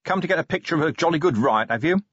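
A grumpy police commander of a fantasy city, acted by a man, speaks huskily with sarcastic bite. His pitch swings freely as he makes gruff demands, in storybook style. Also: expressive, very fast, a bit bright, no echo, muffled recording. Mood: sarcastic, demanding. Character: grumpy, sardonic male city watch commander.

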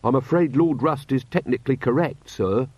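A man's voice: mid-range voice, moderate pace, breathy edge, dry recording, dull audio, pitched above the performer's own voice, natural voice. A man voicing an earnest, dutiful young watch officer with a respectful, matter-of-fact manner.

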